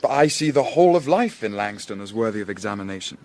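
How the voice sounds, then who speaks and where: Courteous, inquisitive Victorian gentleman. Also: fairly low pitch, animated, a little hiss or hum. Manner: polite, measured, formal. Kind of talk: period radio drama acting.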